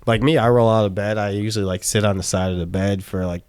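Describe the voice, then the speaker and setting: A man's voice: lowish voice, steady tone, fast talker, darkish tone, clear, resonant tone, dry recording. A man in his thirties from Chicago, a guest in a casual chat, talking in a loose, rambling way with plenty of fillers and swearing. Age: 30s.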